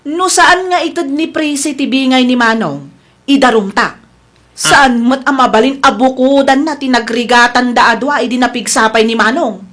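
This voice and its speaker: Scheming sister-in-law, radio drama, high voice, insistent, coaxing. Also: female voice, normal speed, a bit bright.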